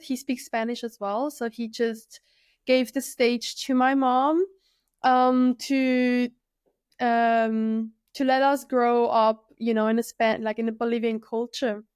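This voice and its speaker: Woman, early 30s, from Switzerland; relaxed podcast guest, crisp voice, dry close sound. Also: on the high side, unhurried, no echo. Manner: relaxed, thoughtful, many fillers and "like". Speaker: guest, freediver. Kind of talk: casual conversational interview.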